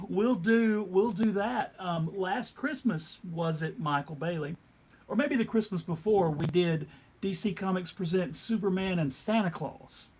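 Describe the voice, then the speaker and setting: A man hosting a casual panel chat, halting as he gathers his thoughts and addresses the group and listeners. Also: sits high, darkish tone, a little hiss or hum. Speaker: panelist and host.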